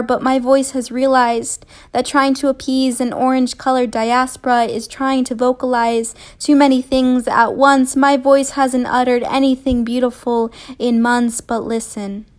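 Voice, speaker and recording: Young woman reciting poetry in level, highish voice. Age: young adult. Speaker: student spoken word poet. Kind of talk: spoken word poetry recital.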